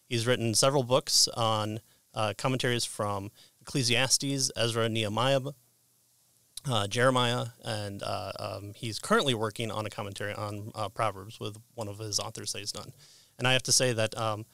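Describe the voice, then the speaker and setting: A middle-aged man hosting a casual interview, speaking in a hesitant, halting way into a close microphone. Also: fairly low pitch, steady tone, vocal fry, bright, forceful tone, no room sound. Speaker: podcast host and interviewer. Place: indoor room lined with bookshelves. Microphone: close boom-arm microphone with headphones.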